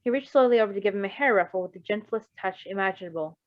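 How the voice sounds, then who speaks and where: A woman's voice: mid-range voice, racing, dry recording, highs cut off. A woman reading fan fiction aloud as an amateur narrator, with casual commentary.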